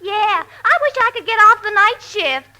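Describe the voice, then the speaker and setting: Brassy young girlfriend, extremely high voice, perky, slangy, 1940s radio comedy. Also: animated, moderate pace, sharp tone, heavily acted. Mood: chirpy.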